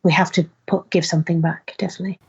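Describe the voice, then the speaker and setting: A woman's voice: fairly low pitch, little pitch movement, fast talker, slightly rough, dull audio. A woman actor being interviewed informally, speaking in an earnest, emphatic and effusive way to promote a play.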